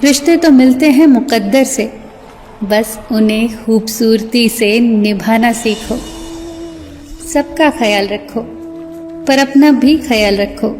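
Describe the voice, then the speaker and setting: A woman narrator reciting scripted motivational sayings in a measured, deliberate way. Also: a little high, darkish tone. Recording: long hall reverb, music bed. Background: music.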